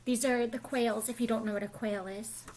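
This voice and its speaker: A woman reading a children's storybook aloud in an expressive way, as if explaining it to young listeners. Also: on the high side, moderate pace, a little hiss or hum. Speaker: storybook reader, school staff.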